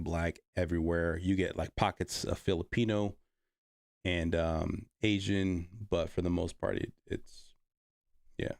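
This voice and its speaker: A man's voice: low-pitched, creaky, dry recording, clean studio sound. A man from the Bay Area, hosting a casual livestream, reading chat and rambling with many fillers and restarts. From Bay Area, California.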